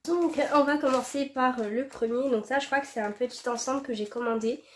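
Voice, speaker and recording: A woman's voice: sits high, brisk, slight background noise. Young woman with a stuffy cold voice. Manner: chatty, many fillers and restarts. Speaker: fashion vlogger. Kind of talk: casual haul chat to camera. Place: at home, indoors.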